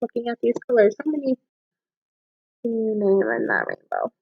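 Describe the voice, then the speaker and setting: A woman's voice: a little high, wildly swooping pitch, normal speed, gravelly, dull audio, faint music behind. A woman teacher reading children's poems aloud and explaining things to young listeners, often putting questions to them. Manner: addresses children with frequent questions.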